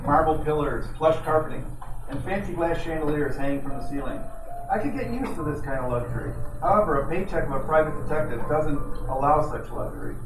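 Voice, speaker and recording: Guy doing a wisecracking old radio detective, streetwise slang, bit high voiced, echoey and noisy. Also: close to an everyday voice. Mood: narrating. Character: wisecracking 1940s male private detective, cocky and streetwise. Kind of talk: amateur old time radio detective acting. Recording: echoey, distant room with loud noise.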